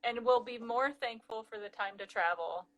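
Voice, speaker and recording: A woman hosting a casual live interview, reading viewer questions with upbeat, quick affirmations. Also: a little high, a bit bright, slight background noise, poor audio. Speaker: host.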